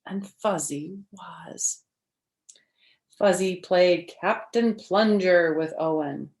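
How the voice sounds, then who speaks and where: An older woman reading a picture book aloud to children, expressive and deliberate, with friendly asides to young listeners. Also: lowish voice. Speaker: storyteller for children. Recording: echoey room.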